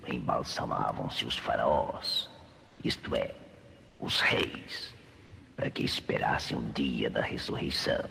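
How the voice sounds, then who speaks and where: A sinister gang boss from a Brazilian radio serial, with an extremely deep, gravelly voice that creaks with menace. He scorns his henchmen and schemes coldly, in a long reverberant hall. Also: fairly bright tone, heavily acted. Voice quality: very low gravelly rasp, often breathy. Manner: slow, menacing, contemptuous. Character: sinister scheming male villain, a knife thrower gang boss. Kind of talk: old radio serial acting. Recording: reverberant old radio recording, faint noise.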